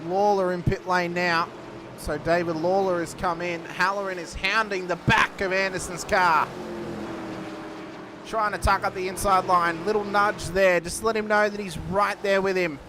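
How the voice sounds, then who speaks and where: Guy calling a sim race, kinda high voice, loud engine noise under it. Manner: cheeky, joking, quick to laugh. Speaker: race commentator. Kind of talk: live sim racing commentary.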